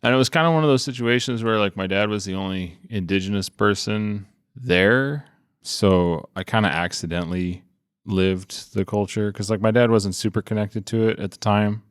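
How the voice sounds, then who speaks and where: A man's voice: on the low side, normal speed, clear, resonant tone, no room sound, studio quality. A man in his thirties from coastal Alaska, telling his story casually and informally. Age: 30s. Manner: informal, frequent "like" and "you know".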